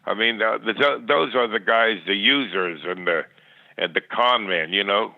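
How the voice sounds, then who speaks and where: A man's voice: fairly low pitch, moderate pace, vocal fry, dry recording. An older man reminiscing as an interview guest, plainspoken and rambling, often stammering and repeating words, over a thin phone line. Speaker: podcast guest. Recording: tinny, band-limited phone line.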